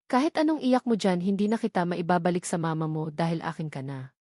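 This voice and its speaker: A woman's voice: on the low side, fairly quick, mellow, no echo, clean studio sound, lightly acted. A woman voicing a domineering, possessive billionaire man with a stern, commanding manner in a romance audio novel, mixing languages. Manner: commanding, stern, switching between English and Tagalog. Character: domineering, cold, possessive billionaire man who holds her captive.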